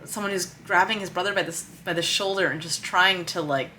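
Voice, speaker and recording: Woman vlogger w a bright forceful voice, kinda lowish, talking stuff through casually, bit of noise behind her. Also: steady tone, fairly quick, rough recording. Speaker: screenwriter and vlog host. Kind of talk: casual explanatory vlog talk. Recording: noticeable background noise.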